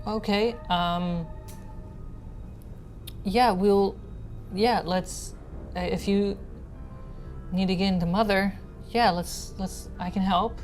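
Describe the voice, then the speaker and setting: A woman's voice: mid-range voice, fairly even intonation, darkish tone, soft background music, voice pushed up, close to an everyday voice. A woman voicing a frightened, guarded little girl of six or seven, speaking hesitantly in a tabletop game. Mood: nervous, evasive. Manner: hesitant, halting.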